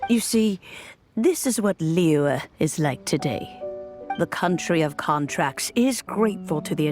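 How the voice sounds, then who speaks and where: A wise, motherly older lady in a video game. Her voice is lowish and very expressive, gently teasing and coaxing, over music. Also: full character voice. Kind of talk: English video game voice acting. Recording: music playing behind the voice.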